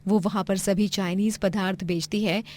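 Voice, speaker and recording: A woman's voice: mid-range voice, steady tone, moderate pace, darkish tone. A woman narrating a scripted moral tale as the storyteller.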